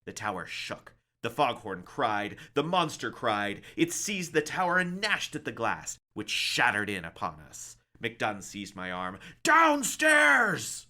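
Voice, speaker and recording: A man reading a somber story aloud as a librarian, with theatrical, deliberate phrasing. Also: lowish voice, bright, forceful tone. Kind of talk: dramatic storybook narration.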